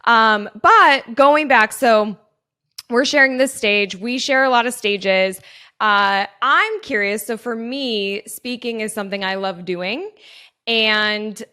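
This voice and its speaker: A woman's voice: on the high side, slow pace, pushed and bright, clean tone. A woman hosting a podcast, chatting with her guest in an enthusiastic, talkative way and laughing often. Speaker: podcast host. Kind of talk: casual interview chat.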